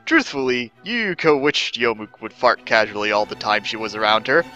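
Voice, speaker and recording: A man's voice: mid-range voice, expressive, moderate pace, sharp tone, soft background music. A man giving a mock-serious dramatic reading of comic fan fiction as its narrator.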